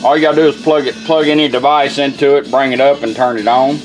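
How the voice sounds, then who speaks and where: A man's voice: mid-range voice, fairly even intonation, quick pace, loud music behind the voice, rough recording. A plainspoken, folksy man giving a casual product demonstration as a radio restorer. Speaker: radio restorer presenting his work.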